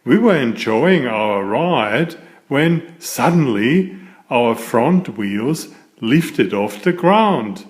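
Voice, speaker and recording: A man reads a children's picture book aloud at an unhurried pace. His delivery is expressive and deliberate, with pitch that moves widely around a middle register. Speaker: author reading aloud.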